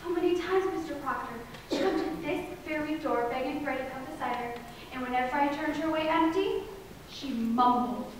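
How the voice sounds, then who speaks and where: Woman acting high-voiced, overwrought young servant girl in echoey room. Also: moderate pace, natural voice. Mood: fearful, insistent. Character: eighteen-year-old servant girl, anxious, tearful, newly self-important. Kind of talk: stage play acting, period speech. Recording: echoey room, distant mic, faint noise.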